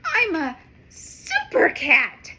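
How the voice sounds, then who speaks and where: A woman voicing a brave, cheerful male super cat in a storybook, talking boastfully and excitedly. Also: very high voice, slow, pitched above the performer's own voice, heavily acted.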